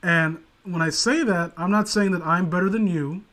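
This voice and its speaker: A man's voice: high voice, moderate pace, fairly bright tone. A man co-hosting a casual comedic commentary, rambling sarcastically with crude humour. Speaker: co-host of a comedic commentary show.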